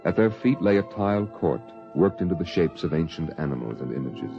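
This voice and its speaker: An actor narrating a 1950s science-fiction radio play in a solemn, measured, dramatic style. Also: male voice, rumbling low, big pitch swings, heavily acted. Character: solemn omniscient storyteller of a science-fiction tale. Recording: dull vintage 1950s radio recording. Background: faint music.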